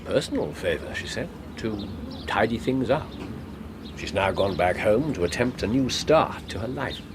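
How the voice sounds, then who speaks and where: A shrewd, urbane detective in a radio play, deep-voiced and very animated. He is wry and playfully evasive, heard over clear background noise. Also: normal speed. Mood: wry, coy. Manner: urbane, wry, feigning innocence. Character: shrewd, playfully evasive male English detective, middle-aged. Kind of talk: radio drama acting.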